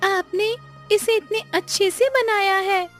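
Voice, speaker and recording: A woman's voice: extremely high pitch, steady tone, measured pace, voice pushed up. A woman voicing a sweet, delighted little girl in a cartoon story, with a childlike manner. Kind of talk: cartoon story character acting. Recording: music behind the voice.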